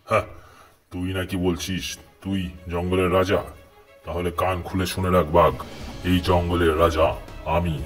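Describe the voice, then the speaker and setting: A man's voice: sits low, level tone, moderate pace, faint music behind, close to an everyday voice. A man voicing a proud, boastful lion who claims to be almighty king, declaiming challenges in a children's cartoon. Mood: defiant. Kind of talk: children's cartoon voice acting in Bengali fable.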